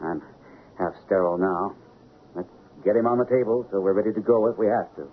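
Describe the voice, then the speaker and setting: An actor playing an authoritative chief surgeon in an old radio drama, giving clipped commands and measured, formal explanations. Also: male voice, fairly low pitch, close to an everyday voice. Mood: brisk, resigned. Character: composed, authoritative male chief surgeon lecturing while operating. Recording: narrow, noisy old radio recording.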